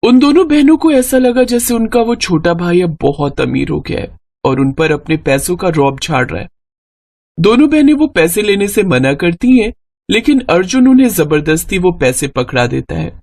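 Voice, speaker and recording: A woman reading a scripted moral story as an expressive narrator. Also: lowish voice, moderate pace, vocal fry.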